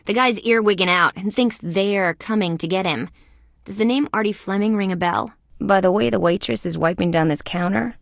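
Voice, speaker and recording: A woman voicing a young, wisecracking female insect police detective in a video game, with sardonic, deadpan quips. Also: on the low side, dry recording, clean studio sound, close to an everyday voice.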